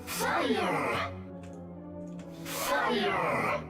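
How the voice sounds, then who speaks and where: A woman voicing a small, excitable mage in a video game, crying out drawn-out fire spells in battle. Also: music in the background. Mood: fierce.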